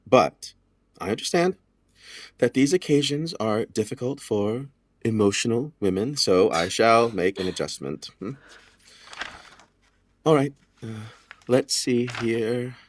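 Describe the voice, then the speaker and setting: A man's voice: mid-range voice, lively intonation, takes it slowly, long pauses, dry recording. A formal, pompous lawyer of a bygone era, recorded close and dry. He speaks in stately, old-fashioned phrases, with small hesitant interjections, in the manner of an audio drama. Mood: awkward, apologetic. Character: formal, pompous male lawyer reading out a will. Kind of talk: period audio drama acting.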